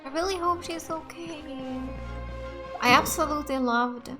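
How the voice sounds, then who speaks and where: A woman streamer giving casual, effusive, soft-hearted reactions while playing a video game. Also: high-pitched, unhurried. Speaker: let's-play streamer. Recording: game music behind the voice.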